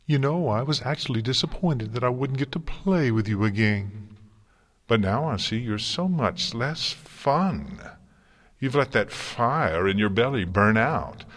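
A mad chaos monster from a storybook, mocking and theatrical, pitch jumps way up and all over. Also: male voice, expressive, a little slow. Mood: taunting. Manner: theatrical, taunting, crooning. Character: mad, gleefully malicious male chaos creature, theatrically mocking. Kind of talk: dramatic storybook character reading.

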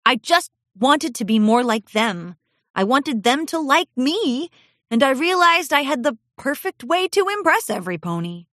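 A woman's voice: high voice, very animated, a little slow, fairly bright tone, dry recording, clean studio sound, pitched above the performer's own voice, full character voice. A woman reading aloud voices a young, lonely filly pony who talks in an earnest, pleading, childlike way. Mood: aggrieved.

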